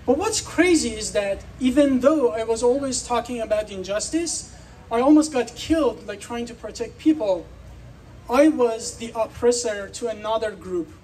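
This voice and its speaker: A man's voice: very high voice, moderate pace, darkish tone, distant mic, slight background noise, poor audio. A man from Iran giving an earnest, persuasive speech at an outdoor festival, putting questions to the crowd. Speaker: speaker.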